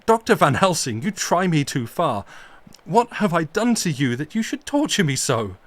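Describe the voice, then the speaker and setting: A young nobleman cries out in high, loud bursts of grief and outrage, as a male reader voices him. His speech is impassioned and exclaiming throughout. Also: sing-song, normal speed, no echo. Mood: outraged. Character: young grieving nobleman, passionate and anguished.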